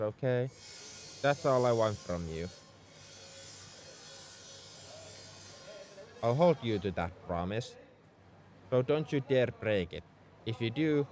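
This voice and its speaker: A man's voice: mid-range voice, a little mellow. A man playing a young tsundere spaceship mech engineer in an audio roleplay, defensive about his feelings as he speaks straight to the listener. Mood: defensive, choked up. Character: gruff-caring tsundere male mech engineer, young adult. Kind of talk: ASMR roleplay acting, addressed to the listener.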